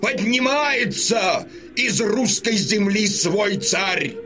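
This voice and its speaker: A fierce, high-pitched old Cossack chieftain. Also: male voice, expressive, full character voice. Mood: defiant, prophetic. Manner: declamatory, impassioned, drawn-out delivery. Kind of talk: Russian radio drama acting. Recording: music behind the voice.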